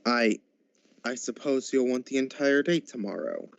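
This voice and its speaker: A man's voice: medium pitch, moderate pace, gravelly, clear, resonant tone, no room sound, highs cut off, natural voice. A man playing a miserly, grumpy old businessman in a radio drama, curt and scornful. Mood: cold, grumbling.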